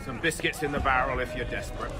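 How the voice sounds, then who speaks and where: A man voicing a grumbling ship's cook in a video game. His voice sits at a middling pitch, offhand and colloquial but helpful, with music clearly behind it. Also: fast, close to an everyday voice.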